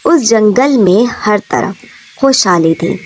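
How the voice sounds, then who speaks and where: A woman's voice: medium pitch, animated, slow. A woman narrating a scripted children's story.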